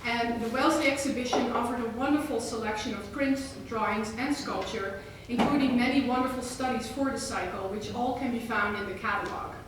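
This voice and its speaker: A woman from the Netherlands, introducing a guest speaker at a museum lecture and reading her prepared remarks. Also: fairly high pitch. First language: Dutch. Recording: very echoey hall, voice from far off, audible noise.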